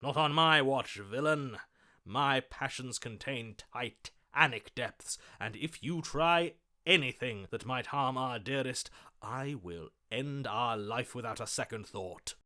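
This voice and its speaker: A man's voice: medium pitch, takes it slowly, bright, forceful tone. A man voicing a lovestruck, melodramatic romantic hero in a video game, speaking in a theatrical, florid, overwrought manner. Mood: defiant, passionate. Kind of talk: video game character voice acting.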